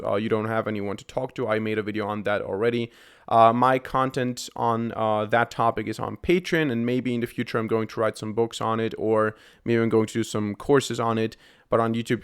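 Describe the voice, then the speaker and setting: A man, a programming YouTuber answering viewer questions to camera in an explaining way, often pausing on "uh". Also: medium pitch, clean tone. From Austria. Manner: explanatory, frequent "uh" fillers. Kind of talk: Q&A talk to camera.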